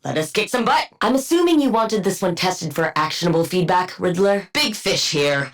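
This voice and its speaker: A woman's voice: on the low side, animated, moderate pace, close to an everyday voice. An actress playing a haughty woman supervillain scientist in a video game, speaking with dry, condescending precision. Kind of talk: scripted game character voice lines and battle barks.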